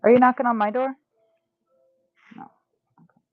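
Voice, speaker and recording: A woman's voice: a little high, steady tone, normal speed, faint music behind. A woman in her forties hosting a live tarot stream, chatting casually with viewers and laughing often. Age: 40s. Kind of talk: casual livestream chat. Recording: tinny phone-like sound.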